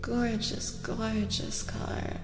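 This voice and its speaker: A woman live streamer reading tarot in soft-spoken ASMR style, chatting casually and gently, sometimes dropping to a whisper and repeating phrases. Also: fairly high pitch, flat delivery, drawn-out words. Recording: reverberant echo with music behind. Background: music.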